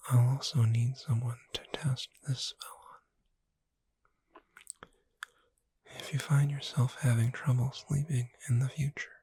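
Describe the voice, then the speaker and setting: A woman playing a gentle witch bookseller in a soothing, hushed, whispered ASMR roleplay, close to the microphone. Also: rumbling low, heavily acted. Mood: kindly, reassuring. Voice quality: breathy whisper. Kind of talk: soft-spoken ASMR roleplay. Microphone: close microphone in a dry space.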